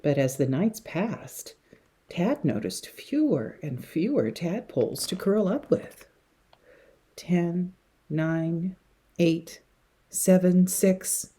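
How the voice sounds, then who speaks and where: A woman reading a picture book to young children in an expressive, dramatic way, with hushed passages and emphatic words. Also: lowish voice, takes it slowly, breathy edge. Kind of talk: expressive children's story read-aloud.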